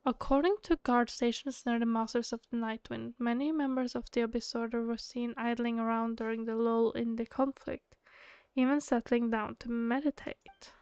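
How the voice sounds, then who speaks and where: A woman's voice: a little high, fairly even intonation, normal speed, darkish tone, clear, resonant tone, no echo, muffled recording. A woman streaming a game playthrough, reading dialogue aloud and reacting casually. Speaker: gaming streamer.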